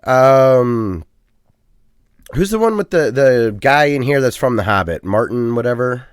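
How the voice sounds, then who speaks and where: A man's voice: medium pitch, animated, unhurried, clear, resonant tone, no echo, studio quality. A man co-hosting a casual gaming podcast, rambling and chatty with plenty of ums and uhs. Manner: self-deprecating, chatty. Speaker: podcast co-host.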